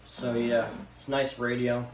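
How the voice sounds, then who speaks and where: A man talking casually off the cuff in a halting, offhand way, showing items from a collection. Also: mid-range voice, little pitch movement. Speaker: vlogger showing a radio collection. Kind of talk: casual unscripted product show-and-tell. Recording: echoey room, background noise.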